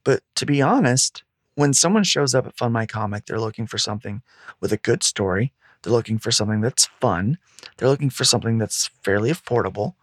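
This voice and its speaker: A man speaking in a calm, soothing voice, earnestly explaining his plans in a relaxed podcast chat. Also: mid-range voice, brisk, no echo. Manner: earnest, explains patiently with repetition. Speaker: guest, comic crowdfunding site founder. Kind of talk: casual podcast conversation.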